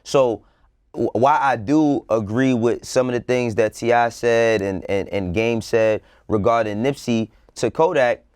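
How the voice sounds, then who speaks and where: A man's voice: medium pitch, unhurried, a little mellow, smooth, no echo, clean studio sound. A man co-hosting a music talk show, giving blunt, slangy opinions and repeating words for emphasis. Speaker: co-host of a music news show. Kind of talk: casual opinionated panel debate.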